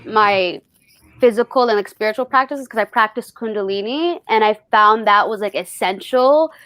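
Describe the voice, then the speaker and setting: A woman's voice: medium pitch, normal speed, a bit bright, no room sound. A woman actor on a panel, reflecting aloud and searching for her words as she goes.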